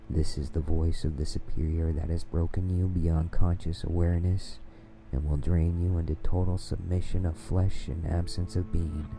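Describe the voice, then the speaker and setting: Male dominant hypnosis narrator: very low, gravelly register, commanding and repetitive scripted delivery, music underneath. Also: rough recording.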